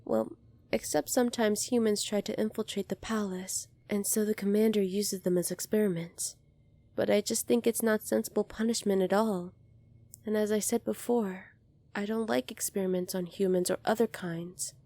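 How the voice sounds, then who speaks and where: A woman playing a gentle, earnest young alien princess in an ASMR roleplay, speaking softly and politely to the listener. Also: medium pitch, steady tone, normal speed, natural voice. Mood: apologetic. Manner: soft-spoken, polite, earnest, apologetic.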